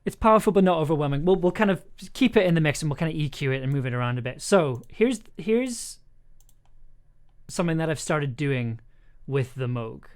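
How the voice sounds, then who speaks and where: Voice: medium pitch, expressive, fairly quick, darkish tone. An enthusiastic, playful music producer chatting casually on a livestream, rambling a little and thinking aloud while working. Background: synth music and keyboard clicks.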